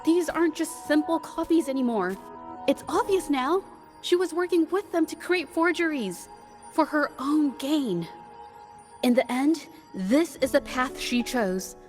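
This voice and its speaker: High-voiced earnest young woman. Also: measured pace, darkish tone, faint music behind. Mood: somber. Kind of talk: video game cutscene acting.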